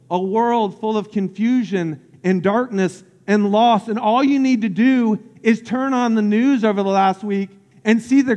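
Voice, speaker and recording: High-voiced pastor preaching in a hall. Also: male voice, darkish tone. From Central Florida. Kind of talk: sermon.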